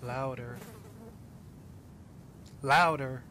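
A man voicing a harsh, domineering, mocking woman in an ASMR roleplay, swearing scornfully with drawn-out emphasis. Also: steady tone. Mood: contemptuous, commanding. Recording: loud buzzing insect noise, low rumble. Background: buzzing flies sound effect.

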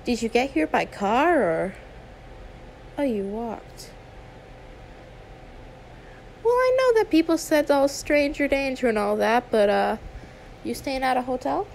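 A woman's voice: high voice, very animated, a little slow, gravelly, a little mellow, slight background noise, heavily acted, low-quality recording. A woman playing a friendly, trusting young female YouTuber in a conversational ASMR roleplay, chatty and confiding with some hesitation. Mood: friendly, hesitant. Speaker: roleplay audio performer.

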